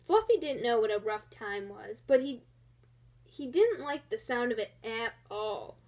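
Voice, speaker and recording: A woman reading a children's picture book aloud in an expressive storytelling style. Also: fairly high pitch, unhurried. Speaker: storybook reader.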